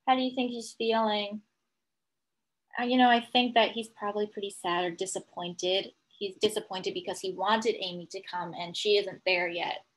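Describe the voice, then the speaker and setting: A woman teacher reading a picture book aloud to young children, pausing to explain things simply and ask questions. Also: medium pitch, fairly even intonation, rough recording. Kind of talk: children's read-aloud with commentary.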